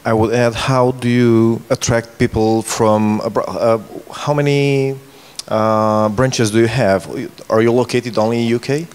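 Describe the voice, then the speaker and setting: A man's voice: medium pitch, fairly even intonation, measured pace, a little mellow, clean tone. A man in his thirties moderating a casual question-and-answer interview at a conference. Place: indoor conference stage. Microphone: handheld microphone. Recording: reverberant hall sound.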